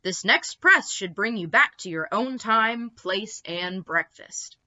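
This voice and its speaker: A woman's voice: medium pitch, lively intonation, takes it slowly, pushed and bright, dull audio, full character voice. A woman voicing a proud, cheerful male stegosaurus professor who explains things eagerly in a storybook.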